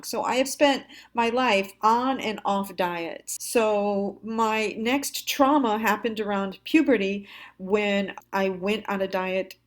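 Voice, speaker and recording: A woman hosting a confessional storytime, candid and self-deprecating, speaking haltingly with pauses. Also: medium pitch. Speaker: storyteller, host.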